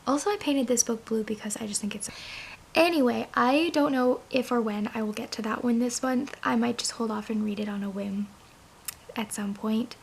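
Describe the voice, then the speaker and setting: A young woman talks to her viewers in a loose, rambling way, with a hoarse, raspy voice and plenty of gravelly fry. Her voice sits a little higher than most, and a faint hum of room noise runs behind her. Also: normal speed. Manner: chatty, rambling, many "like" fillers. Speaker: book vlogger. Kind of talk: casual chat to camera.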